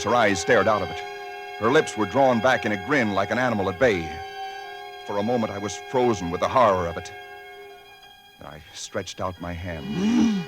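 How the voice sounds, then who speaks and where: A man voicing a frightened young husband who tells his tale in a tense, halting way. His voice sits very low, and music plays clearly behind him. This is old-time radio drama narration. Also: lightly acted. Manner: tense, halting, stammers under strain. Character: shaken young husband telling his story.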